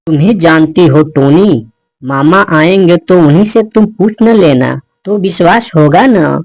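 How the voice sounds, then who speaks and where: A man's voice: on the high side, unhurried, darkish tone, no echo. A man voicing an evasive, boastful male parrot in a children's cartoon, defensive in manner. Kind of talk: Hindi children's cartoon dialogue.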